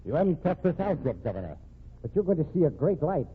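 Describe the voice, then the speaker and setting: Cocky crooked lawyer, tinny radio sound. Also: male voice, a little high, big pitch swings, quick pace. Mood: cocky, threatening. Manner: slangy, sneering tough talk. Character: cocky, scheming crooked male lawyer and murderer. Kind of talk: old-time radio crime drama acting. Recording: noisy, tinny old radio broadcast recording.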